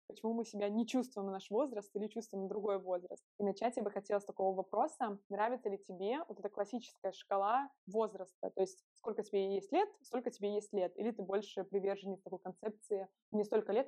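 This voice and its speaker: A woman's voice: mid-range voice, fairly even intonation, fast talker, dark tone, no room sound. A woman co-hosting a podcast in casual conversation, inquisitive and steering the discussion. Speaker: podcast co-host.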